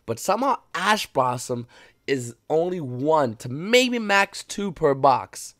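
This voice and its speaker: A man giving casual, opinionated commentary and swearing now and then, keeping his voice lowered at home. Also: very animated, slow pace, fairly bright tone, dry recording, clean studio sound. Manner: keeps voice lowered. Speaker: card market commentator. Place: home, early morning.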